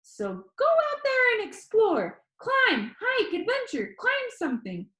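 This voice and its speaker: A woman voicing an energetic, upbeat, slightly weird young male video host in an audiobook, with bubbly, emphatic, encouraging delivery. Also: extremely high pitch, slow pace, reverberant, pitched above the performer's own voice, exaggerated voice. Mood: eager, urging. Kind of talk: theatrical audiobook character voice.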